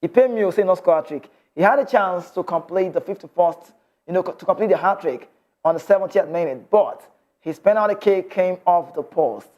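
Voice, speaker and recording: Nigerian male sports host, high register, passionate opinionated delivery, slightly muffled recording. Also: fairly quick, a little mellow. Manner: passionate, mixes in Nigerian Pidgin. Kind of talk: sports news reading and opinionated commentary.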